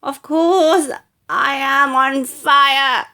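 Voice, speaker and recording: A woman storyteller acts out an old grandmother from a children's storybook. She is cranky and imperious, pitched high, and she scolds and exclaims in a forceful, loud way. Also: steady tone, extremely slow, exaggerated voice. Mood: outraged.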